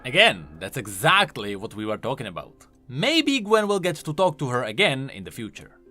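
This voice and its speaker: Male lore commentator, bright sharp tone, highly animated intonation, emphatic explainer, video voice-over. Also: moderate pace. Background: faint music.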